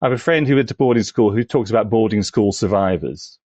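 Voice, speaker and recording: A man's voice: lowish voice, fast, darkish tone, no room sound, muffled recording. A man on a podcast panel, discussing and analysing casually in a tentative, searching, self-correcting way.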